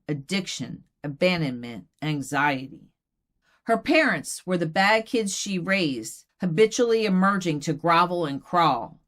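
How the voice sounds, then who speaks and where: A woman plays an earnest doll poet at a reading. She recites very slowly in a lowish voice, deliberate and measured with each line. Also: a bit bright. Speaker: poet reading own work. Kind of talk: poetry recitation.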